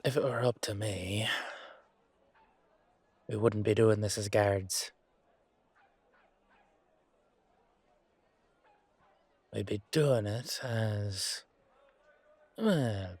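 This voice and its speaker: Man playing a slow-talking, wry, weary knight. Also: lowish voice, breathy edge, no room sound, full character voice. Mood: planning, conspiratorial. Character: humble, weary young male knight, wry and loyal. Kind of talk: amateur fantasy audio roleplay acting.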